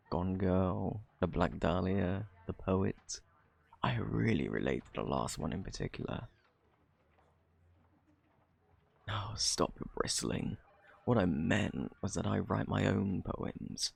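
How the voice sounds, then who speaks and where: Man playing a deep, gravelly, flirty British thief. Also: full character voice. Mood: smug, amused. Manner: teasing, drawling, theatrically coy. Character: smug, flirty male thief teasing a detective. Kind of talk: flirty ASMR roleplay acting.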